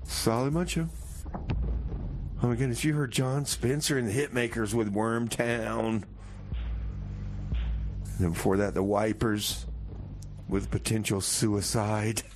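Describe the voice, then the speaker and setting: A man's voice: on the low side, steady tone, unhurried, pauses often, noisy background. A man hosting a music show on the radio, chatting casually and rambling with slangy, laid-back asides.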